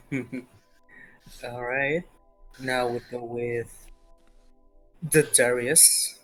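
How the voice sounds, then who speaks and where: Man, very slow pace, medium pitch, casual game host, faint music behind. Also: fairly even intonation, a bit bright, rough recording. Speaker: game session moderator. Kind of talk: casual hosting of introductions.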